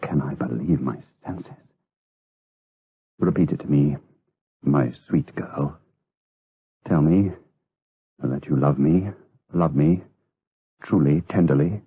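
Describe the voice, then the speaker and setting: An actor playing an outwardly pious, lustful abbot in a gothic radio drama, with an oily, hesitant, insinuating delivery. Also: male voice, extremely low pitch, slow pace, pauses often, slightly rough, no echo, narrow, tinny audio, exaggerated voice. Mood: ardent, urgent. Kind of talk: gothic radio drama acting with third-person narration.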